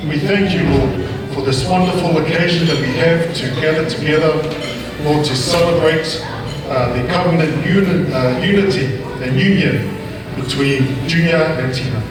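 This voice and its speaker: A man's voice: on the high side, normal speed, a crowd in the background. A man, a pastor, leading an earnest spoken prayer over a PA in a large banquet hall. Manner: earnest, repeating Father and Lord. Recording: echoey PA in a large hall, from far off.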